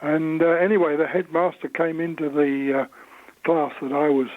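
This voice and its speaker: A man in his eighties with an English accent, recounting memories in an interview in a hesitant, measured, understated way. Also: on the high side, level tone, no echo, narrow, tinny audio. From Suffolk, England. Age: 80s. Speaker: interviewee, church bell ringer.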